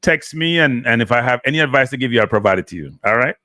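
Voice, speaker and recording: A man's voice: mid-range voice, lively intonation, fast talker, pushed and bright, no echo, studio quality. A man from Jamaica hosting a live sports talk show, steering a caller back on topic. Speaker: host.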